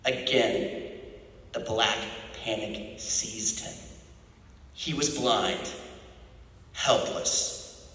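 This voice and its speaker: Male narrator for dramatic fiction, intense and theatrical, forceful tone, heavily reverberant space. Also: mid-range voice. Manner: intense, theatrical, with suspenseful pauses. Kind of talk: dramatic literary reading. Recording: very echoey, reverberant room.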